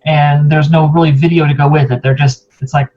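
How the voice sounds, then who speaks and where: A woman's voice: low voice, steady tone, fairly quick. A woman hosts an interview in a casual, musing style. Her speech is informal and conversational, and the recording sounds slightly dull and lo-fi. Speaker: host, interviewer.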